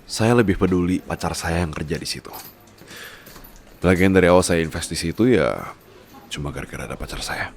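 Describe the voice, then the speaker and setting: A man playing a bossy, rich young boyfriend who is gruff yet doting and protective, in an ASMR roleplay. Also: low-pitched, a little fast, pauses often, slight background noise. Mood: firm, businesslike. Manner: scolding, insistent, code-switching with English words.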